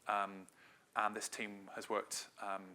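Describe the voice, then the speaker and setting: Middle-aged man from Wales presenting at a conference; fairly low, steady voice with a bright, forceful edge; conversational and hedging. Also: slow. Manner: conversational, loose delivery with frequent hedges. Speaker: marketing director giving a talk.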